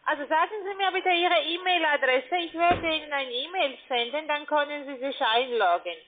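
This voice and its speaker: A woman working as a call-centre agent, giving a sales pitch on the phone. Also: moderate pace, noisy background. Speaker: call-centre caller pitching trading accounts. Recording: tinny narrowband phone line.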